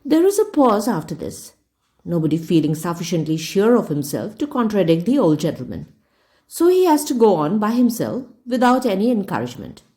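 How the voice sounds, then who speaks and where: Female storyteller, mellow and relaxed, very varied intonation, reading prose. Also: medium pitch, a little fast. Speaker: storyteller reading a book aloud. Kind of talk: scripted audiobook narration.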